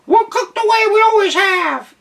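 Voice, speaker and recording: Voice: very high voice, lively intonation, moderate pace, gravelly, a bit bright, distant mic, voice pushed up, exaggerated voice. A reader voicing a bossy house cat who meows before snapping out lines in a storybook read-aloud. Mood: snappy.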